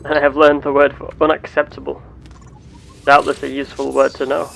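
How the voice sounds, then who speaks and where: A man narrating his gameplay in character as an earnest, curious starship captain, with formal, deliberate wording. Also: medium pitch, completely flat delivery, gravelly, a bit bright, low-quality recording. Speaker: player of a roleplay game series.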